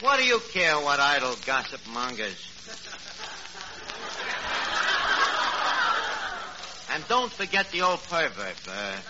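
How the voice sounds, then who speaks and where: Boastful new york barkeep, 40s radio comedy, pitch swinging around, really hissy old recording. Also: male voice. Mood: flirtatious. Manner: wisecracking, bumbling malapropisms. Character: brash, boastful Brooklyn tavern manager wooing an old flame. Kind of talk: 1940s radio comedy acting. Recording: noisy, muffled old radio broadcast.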